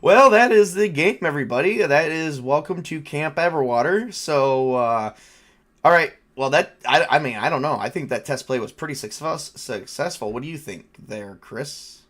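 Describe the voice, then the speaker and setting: A man's voice: fairly high pitch, lively intonation, normal speed, a bit bright. A man running a tabletop role-playing session as game master, talking casually and repeating phrases as he thinks aloud. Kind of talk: casual unscripted game narration and chat.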